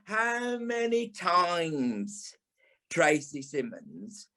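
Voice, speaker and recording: An actor playing a camp, sharp-tongued British dance teacher and former stage dancer in an audio play, theatrical and sarcastic. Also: male voice, a little high, sing-song, drawn-out words, loud music behind the voice, exaggerated voice. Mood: sarcastic, scolding. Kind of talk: audio play monologue and dialogue.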